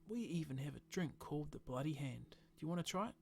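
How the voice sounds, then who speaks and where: A man's voice: on the high side, animated, quick pace, gravelly, a little mellow, soft background music. A man voicing a friendly, easygoing male bartender who chats and jokes with customers. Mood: chatty. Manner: friendly and chatty, with a joking tone.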